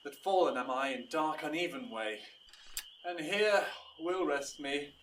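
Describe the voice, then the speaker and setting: High-voiced, impassioned young lover acted by a man. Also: animated, measured pace, a bit bright. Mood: frustrated weary. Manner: declamatory, impassioned. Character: proud, vengeful young male lover. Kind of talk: Shakespearean audio drama. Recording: distant mic, faint background noise.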